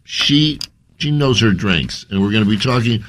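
A man's voice: on the low side, expressive, normal speed, a bit bright. Joking man radio co-host. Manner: joking, playful. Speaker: radio food show co-host. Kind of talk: casual radio chat. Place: radio studio.

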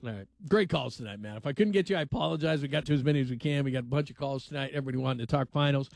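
A man's voice: mid-range voice, rapid-fire, creaky, no echo. A man hosting a sports call-in radio show from a studio, talking loosely and going off on tangents. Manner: loose, rambling, laughs easily. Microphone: studio microphone.

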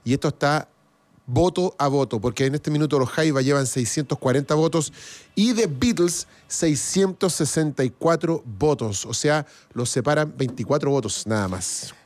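A man's voice: a little high, moderate pace, a little mellow. A Chilean man hosting a live music show in casual chat, often repeating phrases for emphasis and reading out comments.

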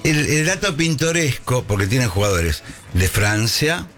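A man's voice: mid-range voice, sing-song, a little slow, a bit bright, soft background music. A man with a Rioplatense accent hosting a casual sports talk show, joking and telling stories. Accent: Rioplatense Spanish. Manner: joking storyteller, acts out voices. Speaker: radio host.

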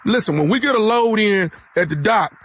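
A man's voice: high-pitched, expressive, a little fast, a bit bright, breathy edge, heavily acted. A man playing a pushy, aggrieved night-shift worker on a prank phone call, stammering and repeating himself confrontationally. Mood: accusing.

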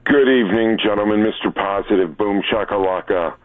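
A man in his sixties phones in to a talk show. His low voice comes through a tinny, narrow phone line as he chats casually and haltingly. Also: no echo. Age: 60s. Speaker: regular phone-in caller. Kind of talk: casual chat with hesitations. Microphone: telephone.

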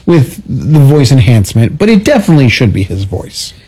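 A man's voice: medium pitch, big pitch swings, a little fast, background noise. A man co-hosting a radio talk show, joining in with casual, teasing banter. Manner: teasing, joking.

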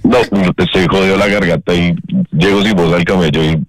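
Very deep-voiced Colombian man. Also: fairly even intonation, crowd noise. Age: 30s. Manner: hesitant, many pauses. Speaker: caller. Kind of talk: casual phone chat.

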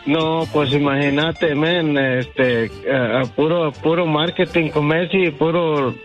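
Rambling caller, slightly high voice, faint music under him. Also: male voice, steady tone, slow pace, highs cut off. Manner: rambling, restarts often. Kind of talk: call-in radio chat.

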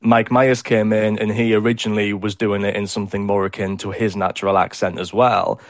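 A man's voice: on the low side, little pitch movement, a little fast, no room sound, clean studio sound. A man with a British accent giving explanatory answers as an academic guest in a radio interview. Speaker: animation historian, interview guest.

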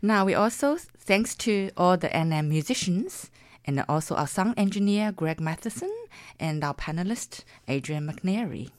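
A woman's voice: lowish voice, lively intonation, normal speed. A woman presenting a classical music radio show, interviewing a guest and introducing the next pieces. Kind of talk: radio hosting and announcing.

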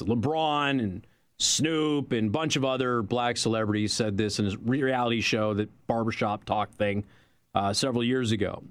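A man's voice: medium pitch, no room sound. A man hosting a talk radio show, delivering an opinionated, rambling monologue into a studio microphone with a sarcastic, mocking edge.

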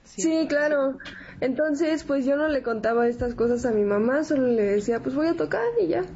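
A woman's voice: sits high, moderate pace, warm tone. A woman in her twenties with a Mexican accent, explaining herself conversationally as an interview guest. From San Antonio, Texas, United States. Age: 20s. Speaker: interview guest, accordion musician. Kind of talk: casual interview chat. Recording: dull audio with background ambient noise.

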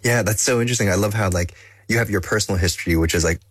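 Very deep, husky male interviewer. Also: rapid-fire, fairly bright tone, no room sound, studio quality. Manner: brief encouraging backchannels. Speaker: podcast host. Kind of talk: casual interview conversation.

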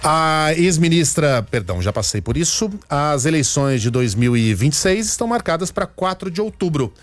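Animated male political commentator. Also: a little high, normal speed, faint music behind. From Minas Gerais, Brazil. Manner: conversational, repeats words, often asks "né?". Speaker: news commentator.

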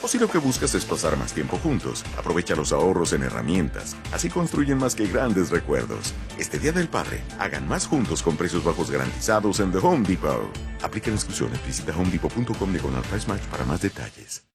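A man's voice: low-pitched, huge pitch swings, fairly quick, vocal fry, hardly any pauses, music in the background. A man reading a scripted retail commercial in an upbeat selling tone. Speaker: commercial announcer. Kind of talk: scripted ad read.

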